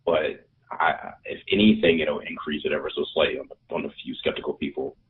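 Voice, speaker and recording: Male co-host, very deep and husky, blunt and sarcastic, heard over a tinny phone-like line. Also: a little fast. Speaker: podcast co-host. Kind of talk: casual movie podcast chat.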